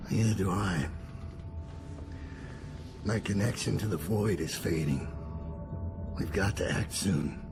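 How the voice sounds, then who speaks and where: An actor playing an old, sick master assassin in a video game, with a deep gravelly voice and a grave, weary, deliberate delivery. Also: male voice, music in the background, exaggerated voice. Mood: grim, urgent. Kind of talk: video game cutscene acting.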